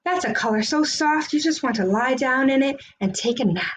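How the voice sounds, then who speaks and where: A woman's voice: high-pitched, animated, brisk, slight background noise. A woman reading a storybook, voicing a friendly, easygoing blind man who savors his words. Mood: dreamy, savoring.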